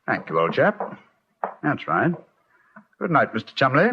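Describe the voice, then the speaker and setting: An actor playing a composed, commanding British gentleman detective with clipped, dry delivery in a vintage radio drama. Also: mid-range voice, huge pitch swings, a little slow, husky, full character voice. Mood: dry, unruffled. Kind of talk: 1940s radio acting. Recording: muffled old radio drama recording.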